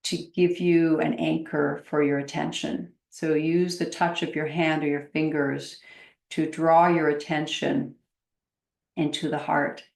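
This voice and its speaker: A woman meditation teacher leading a guided meditation in a gentle, unhurried manner with long pauses, in a roomy, echoey recording. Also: sits low, little pitch movement, creaky.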